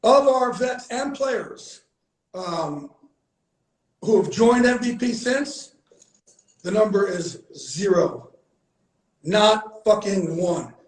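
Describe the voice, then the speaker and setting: High-voiced emphatic man, echoey room. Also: expressive, takes it slowly, long pauses. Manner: emphatic, swears freely, repeats for emphasis. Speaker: host. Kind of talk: casual heartfelt conversation.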